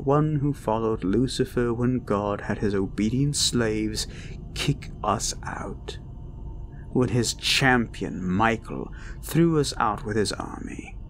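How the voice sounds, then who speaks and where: A man playing an ancient, cruel incubus demon in a dark roleplay audio, mocking and gloating, with slow menace and breathy whispers. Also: lowish voice, a little hiss or hum. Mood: sinister taunting. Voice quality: creaky, breaking into breathy whispers. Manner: slow, menacing, taunting, drawn-out. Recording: ominous music behind the voice.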